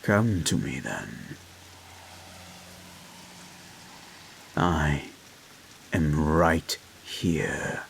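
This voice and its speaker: A man playing a creepy, obsessive hypnotist therapist in a dark guided-meditation roleplay, speaking in a hushed, deliberate, poetic way. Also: extremely low pitch, sing-song, creaky, background noise, full character voice. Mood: tender, ominous. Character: creepy, obsessive male hypnotist therapist, tenderly menacing, unshakeable.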